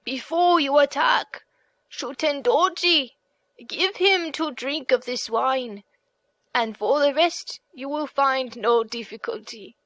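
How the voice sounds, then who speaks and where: Audiobook role: venerable old male deity in human guise played by a woman, high-voiced, slow and solemn, dry close sound. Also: a bit bright, no room sound, poor audio. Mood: solemn, instructive. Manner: measured, solemn, instructive. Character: venerable old god disguised as an old man, solemn benefactor. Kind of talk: audiobook character reading.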